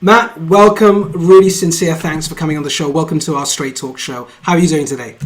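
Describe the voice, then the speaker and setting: A man's voice: fairly high pitch, steady tone, fairly quick. A man hosting an interview show, introducing his guest with effusive praise and many fillers. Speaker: podcast host.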